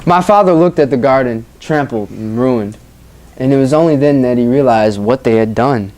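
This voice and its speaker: Teacher, medium-pitched voice, reading a picture book aloud with engaging, informal delivery. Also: moderate pace, darkish tone. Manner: engaging, informal, addresses listeners as guys. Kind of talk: expressive read-aloud with questions to young listeners.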